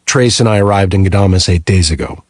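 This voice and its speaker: A man's voice: low-pitched, a little fast, no room sound, studio quality. A man narrating a first-person thriller audiobook from a script.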